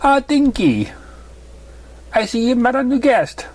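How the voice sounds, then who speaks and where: A man voicing a caring, motherly pegasus mare in a cartoon fan fiction reading, gentle but firm and reassuring. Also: very high voice, sing-song, unhurried, voice pushed up, full character voice. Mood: welcoming.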